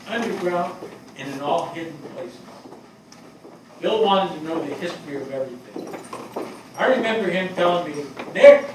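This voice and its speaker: An older man playing a grieving, plain-spoken fire captain in a staged play reading, halting and weary. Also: on the high side. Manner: halting, hesitant delivery. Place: small theatre stage before a seated audience. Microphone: distant camera microphone. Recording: very echoey hall, far microphone, noisy.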